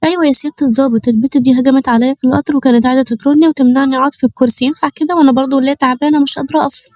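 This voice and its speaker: A shrill, bullying Egyptian older woman, her voice pushed high, as a woman narrator puts her on. She scolds at a rapid clip and slides into a fake-pitiful whine. The sound is dry and close. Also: steady tone, darkish tone, dry recording, lightly acted. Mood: whining.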